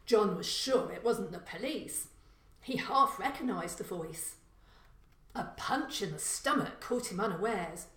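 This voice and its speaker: A woman's voice: medium pitch, animated, unhurried, a bit bright, far from the mic. Woman narrating crime fiction in echoey room. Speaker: author reading own story. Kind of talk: scripted crime story narration.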